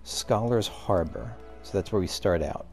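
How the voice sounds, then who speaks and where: A man's voice: deep voice, expressive, a little slow, a little mellow, faint music behind. A man casually commenting while playing a game, rambling and cutting himself off as he thinks aloud. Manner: rambling, self-interrupting, musing aloud. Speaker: let's play host.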